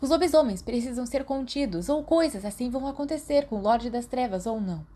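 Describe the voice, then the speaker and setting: A woman's voice: a little high, expressive, a little fast, mellow, voice pushed up. A woman with a Brazilian Portuguese accent voicing a blunt, stubborn young witch student who talks in an insistent whisper.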